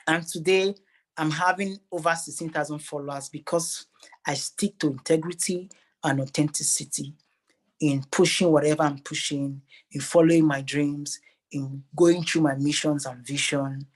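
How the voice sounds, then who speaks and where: A man's voice: on the high side, steady tone, normal speed. A man with a Nigerian accent speaking earnestly and emphatically as a motivational interview guest, repeating phrases for effect.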